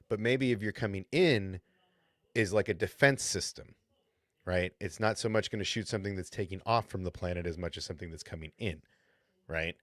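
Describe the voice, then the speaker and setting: A man's voice: on the low side, dry recording. A man with a lisp, hosting a podcast in casual, rambling chat and often checking in with "right?". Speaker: podcast host.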